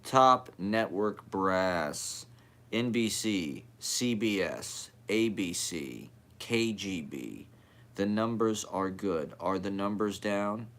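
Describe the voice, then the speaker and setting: A man's voice: on the low side, slow, fairly bright tone. A man reading poetry aloud as a poet, in short clipped fragments delivered one after another.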